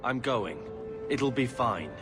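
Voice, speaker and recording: A man's voice: medium pitch, lively intonation, takes it slowly. An actor playing a stubborn, sullen young man in a video game, speaking curtly and defiantly. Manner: curt, defiant. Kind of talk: video game cutscene acting. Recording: loud music behind dialogue.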